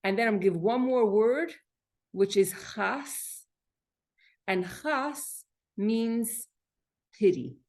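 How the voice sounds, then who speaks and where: A woman leads an informal class as the teacher. She speaks at a very unhurried pace in a mid-range voice, halting as she goes. She often restates a point or poses a question to her listeners. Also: mid-range voice, expressive, a little mellow. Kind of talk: informal spoken lesson explaining Hebrew vocabulary.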